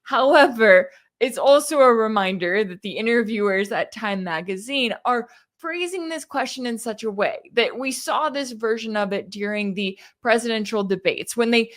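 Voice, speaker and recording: American lady doing political commentary, kinda high voice, really emphatic w/ a sarcastic edge. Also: lively intonation, normal speed, hardly any pauses. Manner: emphatic, rhetorical questions, sarcastic asides. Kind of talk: opinionated news commentary, reading interview quotes and reacting.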